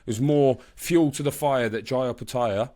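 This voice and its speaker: A man's voice: mid-range voice, normal speed, warm tone. A man who works as a boxing reporter, chatting casually about sport in an informal, slangy, sweary way. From born in Brisbane, Australia. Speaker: boxing reporter and podcast co-host.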